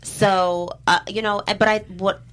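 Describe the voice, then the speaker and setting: A woman's voice: medium pitch, level tone, a little slow, a loud crowd. A middle-aged woman, a guest comedian and actress, chatting casually in an interview and rambling with lots of fillers and restarts.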